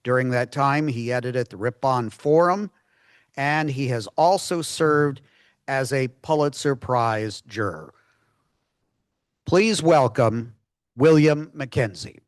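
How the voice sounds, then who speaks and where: A man hosting an event, reading a formal biography with pauses. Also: medium pitch, clean tone, no echo, clean studio sound. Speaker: event host.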